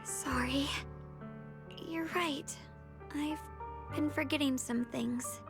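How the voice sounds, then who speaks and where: A woman voicing a gentle, apologetic young girl in an anime video game, speaking softly and haltingly. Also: high-pitched, steady tone, over music. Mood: ashamed. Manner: hesitant, halting, earnest. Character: gentle, apologetic girl hiding a worsening secret.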